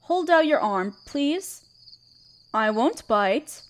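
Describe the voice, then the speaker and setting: A woman playing a regal, tender spider queen in an audio roleplay, speaking in a gentle, soothing and slightly formal way. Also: sits high, animated, slow pace. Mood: motherly, soothing. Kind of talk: scripted roleplay audio drama. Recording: crickets chirping behind the voice. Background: crickets and night insects.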